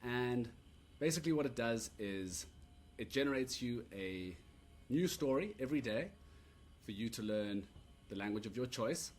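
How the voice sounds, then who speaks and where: A man giving a relaxed, rambling walkthrough of an app he built, full of "uh" and "so yeah" fillers. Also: mid-range voice, moderate pace, faint music behind. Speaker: app creator demoing his product. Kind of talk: casual screen-recorded product demo. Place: at a computer recording a screen demo.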